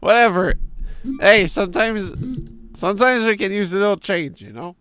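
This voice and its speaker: A hoarse man in his thirties with a sore throat, streaming a game and reading its story text aloud in a deeper storyteller voice. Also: normal speed, a bit bright, no room sound. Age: 30s. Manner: drops into a deep storyteller voice for the narration.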